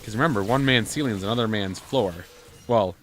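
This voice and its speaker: A low-pitched man talks casually as he plays a video game with a co-host. He rambles and jokes, starting sentences haltingly, over faint background noise on a home recording. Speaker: gaming video co-host and player. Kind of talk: casual banter while playing a game. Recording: noisy home gameplay recording.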